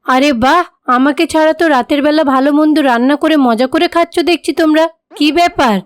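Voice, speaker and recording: Casting: stingy middle-aged Bengali mother-in-law for a cartoon, played by a woman, high voice, scolding and domineering. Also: normal speed, lightly acted. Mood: irritated. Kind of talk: moral-story cartoon voice acting.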